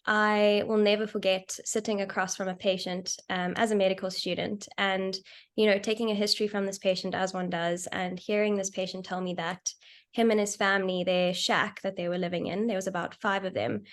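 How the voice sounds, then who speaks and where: A young South African woman and doctor speaking on a panel, earnest and steady, with frequent ums. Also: medium pitch, a little fast. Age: young adult. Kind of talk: panel discussion.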